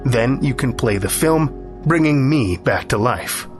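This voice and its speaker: Man acting a scheming, insistent schemer, slowish delivery. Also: medium pitch, animated, soft background music, lightly acted. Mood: determined, excited. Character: scheming, driven man plotting his own resurrection.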